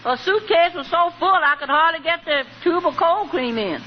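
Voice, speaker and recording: An actress playing a good-natured, giggly maid with a coy, deferential manner in 1940s radio comedy. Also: female voice, extremely high pitch, lively intonation, normal speed. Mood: coy, amused. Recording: dull, noisy 1940s radio recording.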